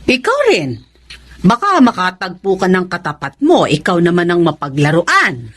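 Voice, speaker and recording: A woman playing a stern, worried older mother in a Tagalog radio drama, scolding in a deliberate, admonishing way. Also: fairly low pitch, wildly swooping pitch, a bit bright, heavily acted. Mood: reproachful, warning.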